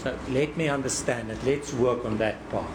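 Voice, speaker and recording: Deliberate probing male counsel. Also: medium pitch, background noise. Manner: deliberate, repeats words while probing. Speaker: cross-examining counsel. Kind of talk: courtroom cross-examination.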